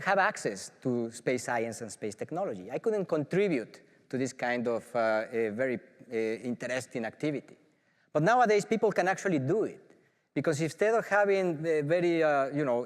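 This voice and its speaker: Mexican-accented man in a big hall. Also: medium pitch. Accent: Mexican Spanish. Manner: enthusiastic, filler-heavy with frequent restarts. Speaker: professor. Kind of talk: conference talk. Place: large auditorium.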